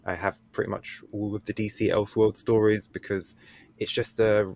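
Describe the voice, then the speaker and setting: A man with a fairly low voice talks as a panelist in a casual book club discussion. He is informal and blunt, laying out his opinions plainly. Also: flat delivery, normal speed, creaky, darkish tone.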